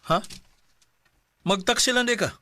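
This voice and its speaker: An actor playing a hesitant, evasive young man in a radio drama, with a Filipino Cebuano accent and halting delivery. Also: high voice, bright, forceful tone. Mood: subdued. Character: hesitant young man, evasive boyfriend and son named Jay.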